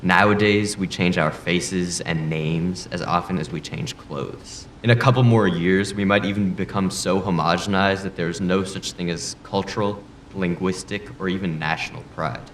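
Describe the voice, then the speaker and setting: A deep-voiced male politician played by a man argues his case in a formal debate. He is proud, blunt and earnest, laying out each point deliberately. The room echoes around him, with faint noise behind. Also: fairly even intonation. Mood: impassioned. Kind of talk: audio drama acting, formal debate rhetoric. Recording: roomy echo, faint background noise.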